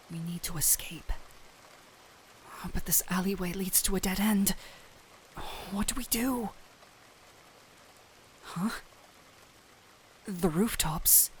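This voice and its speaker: Woman as kind, worried fantasy doctor for audio drama; slow, earnest, halting delivery, rain behind the voice. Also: fairly low pitch, slight background noise. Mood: tense, alarmed. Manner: earnest, hesitant, pleading with broken phrases. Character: gentle, anxious human doctor pleading with a small cat-thief. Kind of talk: dramatic audio roleplay addressed to the listener. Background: rain ambience.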